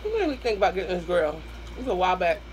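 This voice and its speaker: Hoarse Southern woman chatting while eating. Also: on the low side, sing-song, racing, a little hiss or hum, low-quality recording. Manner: chatty, addresses viewers as y'all, hums while eating. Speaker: mukbang host. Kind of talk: casual eating-show chat. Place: small room at home, indoors.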